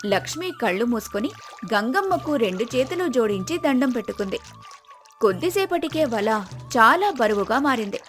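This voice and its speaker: A woman's voice: a little high, big pitch swings, normal speed, fairly bright tone, faint music behind. A woman storyteller reading a scripted moral tale as narration.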